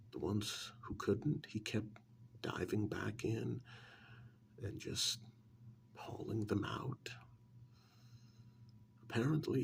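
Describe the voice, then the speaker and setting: A man tells a story in a soft-spoken ASMR role-play style, halting and deliberate, often slipping into a whisper close to the microphone. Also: mid-range voice, creaky, a little hiss or hum. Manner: hushed, often dropping into whisper; halting, deliberate phrasing with long pauses.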